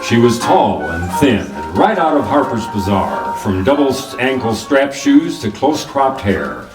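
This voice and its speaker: A man's voice: medium pitch, sing-song, a little slow. A man playing a wry, hard-boiled private detective in 1940s radio noir style, with clipped wisecracking delivery. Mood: wry, narrating. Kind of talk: 1940s radio noir acting with scripted narration. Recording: roomy, distant microphone, music behind.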